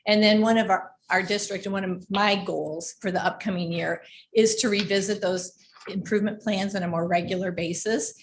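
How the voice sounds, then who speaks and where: Female district administrator, mid-pitched, giving explanations at a school board meeting; noisy recording. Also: fairly even intonation, normal speed. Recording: clearly noisy meeting livestream with clicks.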